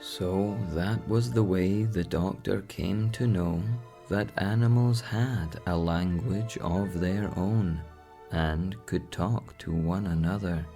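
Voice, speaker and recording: A man narrates a bedtime story extremely slowly in a low, creaky voice. His delivery is calm and soothing, with faint music underneath. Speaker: audiobook narrator.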